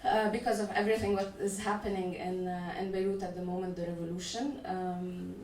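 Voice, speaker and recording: A woman speaking on a conference panel as a festival organizer, measured and halting, with frequent "uh" restarts. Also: on the low side, little pitch movement, a little mellow. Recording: echoey room, voice from a distance, faint noise.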